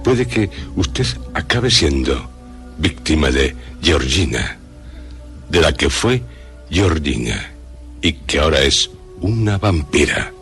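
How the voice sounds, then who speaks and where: Extremely deep, slow theatrical radio presenter, male. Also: fairly bright tone. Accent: Castilian Spanish. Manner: theatrical, ominous pauses. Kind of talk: scripted suspenseful presentation.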